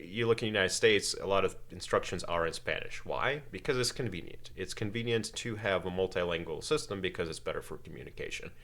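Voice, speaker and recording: A man from Ukraine, a podcast guest whose first languages are Russian and Ukrainian, talks in a measured, halting way with many fillers. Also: sits low, fairly bright tone. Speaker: interview guest. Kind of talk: casual podcast interview.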